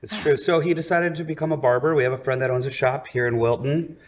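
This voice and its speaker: A man in his forties, a DJ guest, chatting casually in an easygoing, relaxed way. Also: medium pitch, quick pace. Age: 40s. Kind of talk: casual chat.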